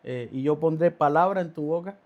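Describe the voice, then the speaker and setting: Guy telling his testimony on a podcast, kinda high voice, lots of stops and restarts. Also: phone-quality sound. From Cuba. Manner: halting, many restarts and fillers. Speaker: guest.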